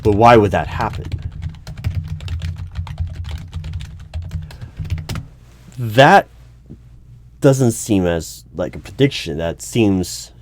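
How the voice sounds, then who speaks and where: A man's voice: lowish voice, expressive, slow. A man playing an exasperated, bureaucratic human resources manager in a one-sided interview roleplay, hesitating and stammering when flustered. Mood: wary, puzzled. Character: exasperated, officious human resources hiring manager at secretive agency. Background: computer keyboard typing.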